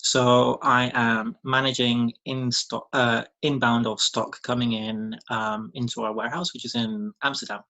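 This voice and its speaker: A man's voice: mid-range voice, flat delivery, a little slow, hardly any pauses, bright, forceful tone. A British man in his thirties chatting as a podcast guest, rambling with lots of ums and restarts and laughing easily. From Britain. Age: 30s. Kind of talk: casual chat.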